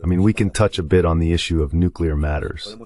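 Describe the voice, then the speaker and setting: A man's voice: deep voice, fast, a little mellow. A man giving conversational political analysis as a guest on a talk show.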